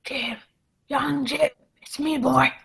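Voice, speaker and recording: A scheming male pirate ringleader from a radio adventure play, acted by a woman. The voice is high yet gravelly and creaky, with slow, deliberate delivery. He blusters and commands in theatrical sea-rover talk. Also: steady tone, a bit bright, exaggerated voice. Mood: hushed, confiding. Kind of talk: stage-style radio play acting.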